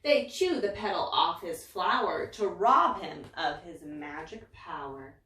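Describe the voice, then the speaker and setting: A woman's voice: fairly low pitch, expressive, unhurried, sharp tone, recorded from a distance. A woman reading a rhyming picture book aloud in a dramatic, emphatic way. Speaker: story reader. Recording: echoey room, away from mic.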